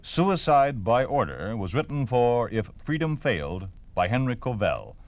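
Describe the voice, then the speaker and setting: A man's voice: mid-range voice, very animated, measured pace, no echo. An American man announcing an old radio drama in a solemn, formal, emphatic scripted style. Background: dramatic orchestral music.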